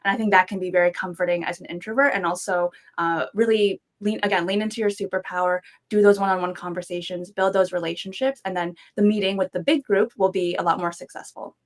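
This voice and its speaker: Woman giving calm step-by-step advice. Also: on the low side. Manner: measured, gives step-by-step advice. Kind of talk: panel discussion.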